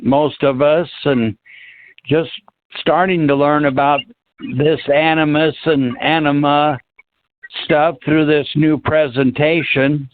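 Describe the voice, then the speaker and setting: A man's voice: a little high, steady tone, takes it slowly. A man giving his thoughts in an unscripted discussion, hesitant and searching for words. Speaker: discussion panelist. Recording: dry, phone-like narrow-band audio.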